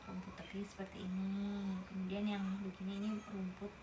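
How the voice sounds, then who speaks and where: A woman's voice: mid-range voice, completely flat delivery. Woman reading a storybook aloud. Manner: pauses to explain the story to a young listener. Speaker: storybook reader. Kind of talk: reading a picture book aloud with chatty asides. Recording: roomy with noticeable background noise.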